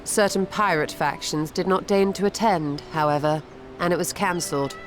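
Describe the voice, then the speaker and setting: Lady voicing a cool clever mage in a game, lowish voice, dry and precise. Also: moderate pace, music in the background, close to an everyday voice. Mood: composed. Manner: composed, analytical, dry. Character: composed, sharp-minded woman mage. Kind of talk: video game voice acting.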